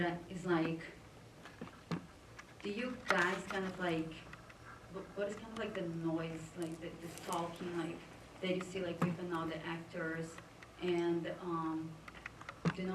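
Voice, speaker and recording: A woman actress from Brazil with a Brazilian Portuguese accent, asking a rambling question full of fillers. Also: on the low side. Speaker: actress, audience member. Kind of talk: audience question. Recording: echoey room, far from mic, noisy.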